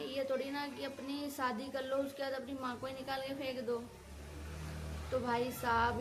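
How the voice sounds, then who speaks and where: High-pitched woman in an indignant rant. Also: background noise. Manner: indignant, scolding, repetitive colloquial venting. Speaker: reaction video creator. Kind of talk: casual opinionated rant to viewers.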